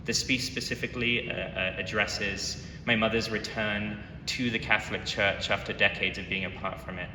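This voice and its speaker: A young man from London giving an earnest, reflective artist talk in a museum gallery, with frequent pauses and fillers. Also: fairly even intonation. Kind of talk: presentation talk. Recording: echoey hall, mic far off, noisy rumble.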